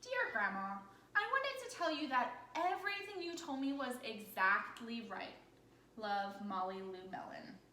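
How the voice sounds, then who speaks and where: A woman with a fairly high, very animated voice reads a picture book aloud like a teacher. Her delivery is bright and encouraging, with questions along the way. The recording is echoey with faint noise. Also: a little slow. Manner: expressive, encouraging, often asking questions. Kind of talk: interactive children's story read-aloud.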